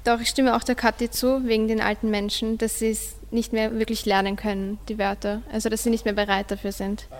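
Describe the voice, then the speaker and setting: A woman's voice: a little high, flat delivery, fairly quick, hardly any pauses. A female teenage student giving her opinion on a radio panel. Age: teens. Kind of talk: radio discussion. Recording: long hall-like reverb.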